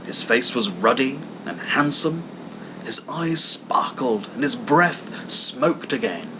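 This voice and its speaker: A man narrating a classic story theatrically, with dramatic, emphatic phrasing and steady background noise. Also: slow pace. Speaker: audiobook reader. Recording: clear background noise, low rumble.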